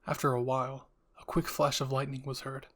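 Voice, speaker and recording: A man with a medium-pitched voice reads scripted story narration. He is the storyteller of a listener roleplay. He tells it in the second person, placing the listener inside the tale. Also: little pitch movement, fairly quick, fairly bright tone.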